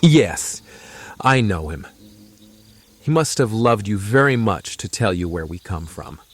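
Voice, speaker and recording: An actor playing a calm, wise traveler from the mountains in a fantasy audio drama, with a gently solemn, philosophical manner. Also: male voice, on the low side, a little slow.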